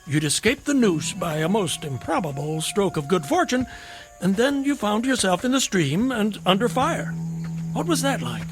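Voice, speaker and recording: A man's voice: high-pitched, big pitch swings, normal speed, faint music behind. A man playing an eccentric, courteous solitary wanderer in an audio drama, slyly curious and quaintly polite. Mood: whimsical, eager. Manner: genteel, quaint, wheedling politeness.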